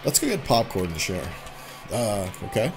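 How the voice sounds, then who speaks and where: A man's voice: on the low side, sing-song, normal speed, a little mellow, faint music behind. A man voicing a shy, dutiful young girl in a visual novel game, in a plain, unassuming way. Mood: uneasy.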